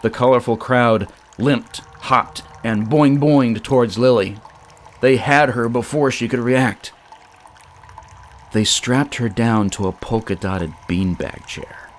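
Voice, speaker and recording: A man narrating a scripted story in a theatrical, deliberate way with dramatic pauses. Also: mid-range voice, slight background noise. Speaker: storyteller and show creator.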